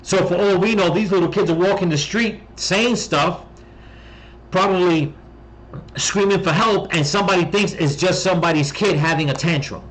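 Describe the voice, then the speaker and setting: Higher-pitched American man, blunt and emphatic. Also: normal speed, fairly bright tone, poor audio. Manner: blunt, emphatic, mildly profane. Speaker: video commentator. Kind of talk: casual reaction commentary.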